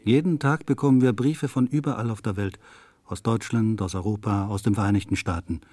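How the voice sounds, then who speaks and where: A man reading a translated voice-over of an interview in a steady, plain way. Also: lowish voice, fairly quick, no room sound.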